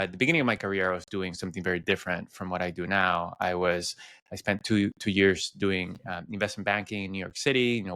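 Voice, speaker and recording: Smooth, low-voiced man from Venezuela. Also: a bit bright, dry recording. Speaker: podcast guest. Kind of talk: personal storytelling in an interview.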